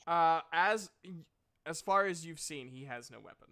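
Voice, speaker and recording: A man's voice: fairly high pitch, lively intonation, a little slow, bright, forceful tone, smooth. A man narrating a tabletop role-playing game as game master, casual and hesitant, swearing now and then.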